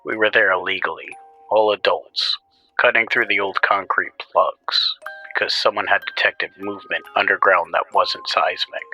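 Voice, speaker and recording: A man's voice: deep voice, fairly even intonation, normal speed, pushed and bright, faint music behind, low-quality recording. A man hosting and narrating a scripted scary story in the first person. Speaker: horror story narrator and show host.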